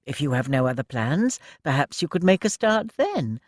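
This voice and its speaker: A man's voice: fairly high pitch, big pitch swings, normal speed, dry recording, clean studio sound. A man voicing a refined middle-aged lady who speaks with prim, patronising politeness. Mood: officious. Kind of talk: audiobook character voice.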